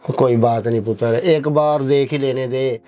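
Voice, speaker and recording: A man's voice: medium pitch, steady tone, unhurried, mellow, lightly acted. A man playing a poor, trusting old village father, pleading and affectionate in a dramatised moral story. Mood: stubborn, coaxing. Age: older. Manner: pleading, repeatedly addresses listener affectionately.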